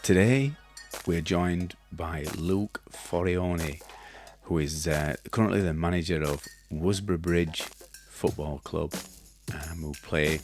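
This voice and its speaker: A man in his fifties hosting a casual podcast interview in a cluttered home studio, talking haltingly with many fillers and restarts. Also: low voice, music in the background. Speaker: podcast host. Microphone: studio mic with headphones.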